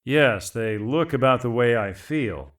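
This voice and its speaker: A man's voice: mid-range voice, lively intonation, measured pace, fairly bright tone. A man playing a plainspoken shipwrecked mercenary in a space adventure audio drama. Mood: relieved, courteous. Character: male shipwrecked mercenary hunter, rugged and plainspoken, courteous.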